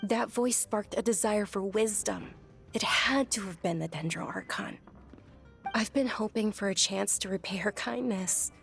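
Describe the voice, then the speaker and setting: A woman playing a sincere, devout young noblewoman in a video game, speaking articulately and softly. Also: medium pitch, a little slow, vocal fry, soft background music. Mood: wistful, reflective. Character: earnest, devout young noblewoman recounting her childhood. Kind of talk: game dialogue and reflective narration.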